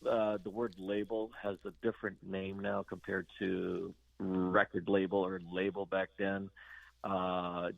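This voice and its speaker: A man guesting on a radio interview, talking about his band in a halting way, full of fillers and restarts. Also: sits low, creaky, no echo, phone-quality sound. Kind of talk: casual interview chat.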